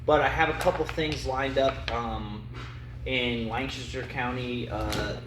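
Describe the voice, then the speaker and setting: Casual male musician guest, halting and filler-heavy, mic set back in an echoey room with steady background noise. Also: mid-range voice. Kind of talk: casual interview chat.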